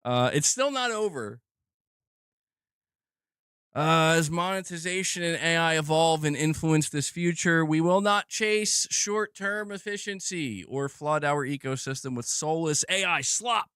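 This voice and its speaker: A man commenting casually on gaming news during a live stream, animated and joking, often laughing and drifting into asides. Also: a little high, measured pace, clear, resonant tone, no room sound, clean studio sound.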